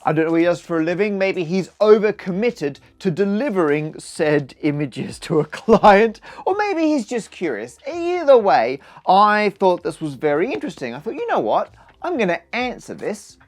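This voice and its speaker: A man presenting an informal maths explainer to camera, animated and conversational, with dry, deadpan comic asides. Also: high voice, moderate pace. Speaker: science video presenter and comedian.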